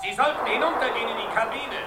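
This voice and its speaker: Brisk, polite ship's officer, quick-spoken and set back from the mic, loud hiss. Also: male voice, very high voice. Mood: courteous. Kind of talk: 1970s radio drama acting. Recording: loud hiss, heard from far off.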